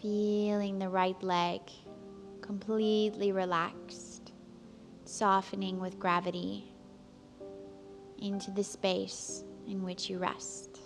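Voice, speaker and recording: A woman leads a guided relaxation in a calm, soothing voice. Her pace is unhurried and her pitch stays level, with long pauses between gentle instructions. Faint music plays behind her. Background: soft background music.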